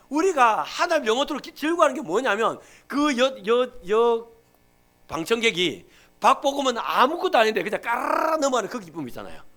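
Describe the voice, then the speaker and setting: Animated high-voiced Gyeongsang pastor. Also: male voice. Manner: emphatic, swings from loud to hushed. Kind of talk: Sunday sermon.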